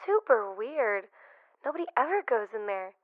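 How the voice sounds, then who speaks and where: A woman playing an excitable young gossip heard through a radio communicator in a sci-fi drama. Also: on the high side, moderate pace, no room sound. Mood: excited, nosy. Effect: radio communicator filter. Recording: tinny radio or phone-like channel.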